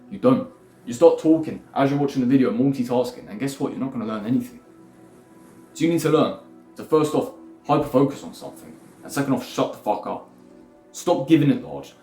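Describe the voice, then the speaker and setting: A man's voice: mid-range voice, dark tone. Blunt, swearing young man. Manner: rambling, swearing, lecturing bluntly. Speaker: self-improvement YouTuber. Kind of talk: blunt motivational monologue. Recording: echoey room with faint music.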